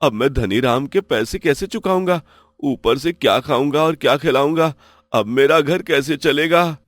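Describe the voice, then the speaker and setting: A man's voice: on the high side, normal speed, fairly bright tone, dry recording, close to an everyday voice. A man playing an honest, poor village farmer who pleads and laments in a moral story. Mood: despairing.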